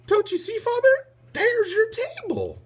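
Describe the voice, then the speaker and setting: High, piping little boy. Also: very animated, measured pace, heavily acted. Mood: excited. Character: eager young boy, a knight's son, piping up. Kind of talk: storybook read-aloud.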